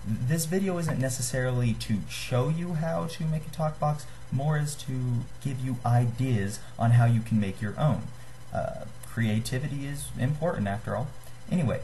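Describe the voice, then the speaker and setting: Young man, mid-range voice, informal tutorial, unsure apologetic delivery, webcam mic with faint noise. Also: dark tone. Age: young adult. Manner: apologetic, hesitant, self-deprecating. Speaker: DIY video maker giving a tutorial. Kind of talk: casual unscripted how-to talk. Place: small room, indoors. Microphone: built-in webcam at desk distance. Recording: noisy low-grade webcam microphone.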